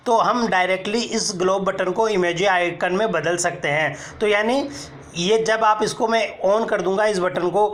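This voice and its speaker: A man. He goes one step at a time, pausing to point things out and telling viewers to look here. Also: sits high, a bit bright. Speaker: tech tutorial presenter. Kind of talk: screen-recorded how-to explanation.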